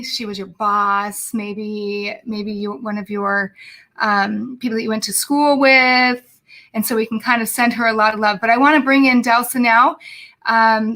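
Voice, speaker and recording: A woman's voice: a little high, sharp tone. A woman hosting a live podcast over a video call, bubbly and chatty, with many fillers and restarts. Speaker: podcast host. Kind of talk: casual live podcast chat.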